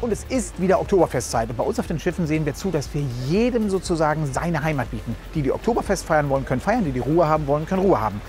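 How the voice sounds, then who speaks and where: A man presents a travel magazine with an upbeat, chatty delivery. His voice sits fairly high and swings a lot in pitch, with music playing clearly behind him. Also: animated, racing, few pauses, darkish tone.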